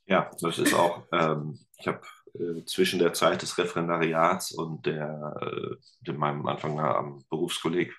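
A man's voice: extremely low pitch, flat delivery, measured pace, a bit bright. A man in his thirties, a teacher and musician, talking casually as a podcast guest, thoughtful and full of fillers and restarts. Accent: German. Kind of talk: casual interview talk.

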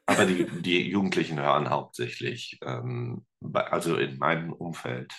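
A middle-aged man, a teacher and musician, talking casually as a podcast guest, relaxed and rambling with many fillers. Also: very deep voice, normal speed, gravelly. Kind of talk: casual interview chat.

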